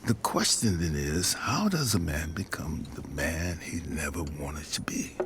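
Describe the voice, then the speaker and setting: A very low, heavy voice belongs to this weary middle-aged father in an audio drama. He speaks plainly, like one haunted by his failings, with some background ambience around him. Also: expressive, a little slow, noisy background, heavily acted. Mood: somber, confessional.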